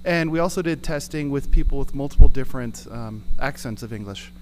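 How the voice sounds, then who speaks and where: An American man speaks at a middle pitch over clearly audible noise. He is an audience member at a conference, giving an informal account of what he has tried. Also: brisk. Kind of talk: informal spoken account of own experience. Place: conference session room.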